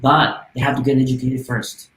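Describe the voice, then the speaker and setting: A man's voice: mid-range voice, level tone, normal speed, fairly bright tone. A man from Guatemala speaking as a podcast guest, earnest and passionate, with frequent "uh"s. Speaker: guest from a volunteer charity. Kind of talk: casual podcast interview. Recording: roomy, echoey sound.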